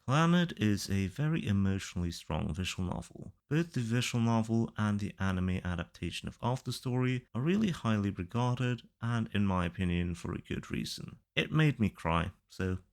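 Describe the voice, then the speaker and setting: A man giving casual opinion commentary through a ranked list in a matter-of-fact, measured way. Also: lowish voice, lively intonation. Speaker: video reviewer.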